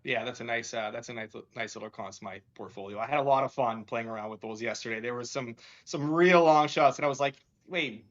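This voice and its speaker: A man's voice: mid-range voice, brisk, few pauses, a bit bright. A man hosting a casual sports betting show, upbeat and laughing, with many fillers. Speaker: show host. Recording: dull lo-fi sound.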